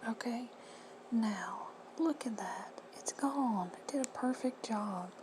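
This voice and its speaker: A woman with a hoarse, husky voice giving plain, friendly step-by-step instructions in a casual how-to. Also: on the high side, slow. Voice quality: whispery, husky. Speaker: reseller tutorial host. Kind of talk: casual screen-recorded how-to. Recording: noisy home recording.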